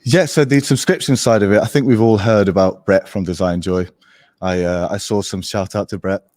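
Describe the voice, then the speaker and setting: Young man, English accent, deep voice, casual guest chat, dry mic. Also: moderate pace, dry recording. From born in Portugal, raised in Spain. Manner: relaxed, rambling, many fillers. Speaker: guest, agency founder. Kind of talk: casual podcast interview.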